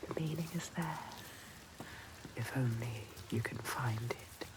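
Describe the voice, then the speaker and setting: Low, whispering eerie inner voice. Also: female voice. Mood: hushed, ominous. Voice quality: breathy, whispery. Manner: slow, hushed. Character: eerie female inner-voice narrator, intimate and watchful. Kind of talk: dramatic game narration. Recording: heavy background noise.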